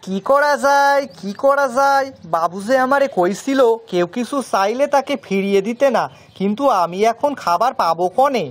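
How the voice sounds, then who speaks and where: A woman's voice: a little high, lively intonation, moderate pace, few pauses, natural voice. A woman voicing a simple, kind-hearted village man in a children's cartoon, earnest and folksy. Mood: worried.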